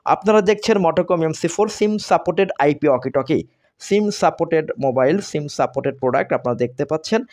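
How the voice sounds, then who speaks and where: A man with a Bangladeshi accent giving unscripted sales talk as a seller, explaining haltingly and repeating himself. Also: a little high, animated, a little mellow. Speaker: seller presenting products on video.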